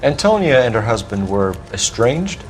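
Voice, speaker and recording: An actor playing an earnest 1940s police detective who asks measured, courteous questions. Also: male voice, mid-range voice, big pitch swings, faint music behind, natural voice. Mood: probing. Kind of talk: game cutscene voice acting.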